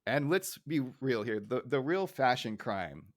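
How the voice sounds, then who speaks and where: A man's voice: mid-range voice, very animated, measured pace, no echo, studio quality. A man co-hosting a podcast, chatting casually as he recaps a show. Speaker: podcast co-host. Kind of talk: casual episode recap chat.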